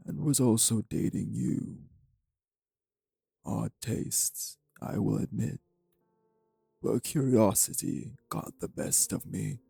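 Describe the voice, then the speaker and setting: A man playing a menacing, urbane old vampire kidnapper in an audio drama, with a measured, condescending, formal delivery and a gravelly voice. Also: dark tone, no room sound, exaggerated voice. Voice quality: gravelly, low. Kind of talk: audio roleplay drama acting.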